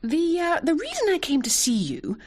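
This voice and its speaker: A woman playing a stern, proud, exacting English wife in a radio drama, crisp and reproving. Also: very high-pitched, huge pitch swings, moderate pace, darkish tone, heavily acted. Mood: stiff, conciliatory.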